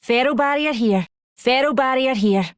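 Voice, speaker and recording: A woman's voice: high voice, normal speed, dry recording, clean studio sound, lightly acted. A woman playing a curious, keen scientist fighter in a video game. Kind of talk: video game character callouts.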